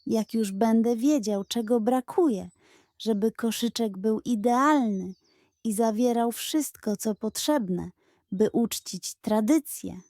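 Casting: storybook pug played by a woman, raised pitch, proud and theatrical, grand solemn delivery, crafty streak. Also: takes it slowly, dark tone, dry recording, studio quality. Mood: pompous. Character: cunning, pompous male pug with grand speeches.

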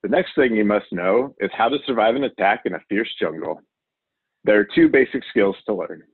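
A man voicing a wild, overconfident male TV survival show host from a children's storybook, excitable and showy. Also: lowish voice, brisk, breathy edge, dry recording. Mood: confident, instructive. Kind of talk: children's storybook character reading. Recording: narrow, phone-like audio.

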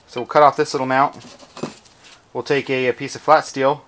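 A man's voice: medium pitch, flat delivery, moderate pace, a bit bright, slight background noise. Plainspoken man in his garage. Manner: plainspoken, practical, thinking aloud with fillers. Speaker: garage DIY channel host. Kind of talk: casual hands-on product review. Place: cluttered home garage workshop.

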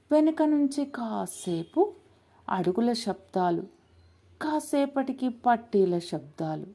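A woman tells a moral tale as a dramatic storyteller. Her voice is fairly high and mellow, moving slowly with very lively intonation.

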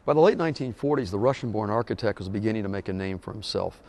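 A man guiding a walking tour and explaining local history in a measured, informative way. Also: fairly low pitch, expressive, vocal fry, darkish tone. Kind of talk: scripted walking-tour narration. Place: outdoors on a beach boardwalk, later a hotel lobby.

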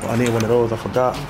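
A man vlogging while exploring an abandoned old factory at night, talking casually in slang, swearing and easily spooked. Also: mid-range voice. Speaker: vlogger exploring a haunted building. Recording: noisy handheld camera audio.

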